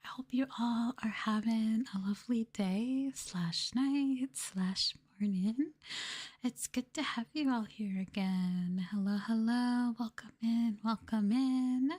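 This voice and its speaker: Soft-spoken, whispery woman doing ASMR. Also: on the high side, slow. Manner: gentle hushed delivery, often slipping into whisper. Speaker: streamer host of an ASMR livestream. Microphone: close microphone for headphone listening.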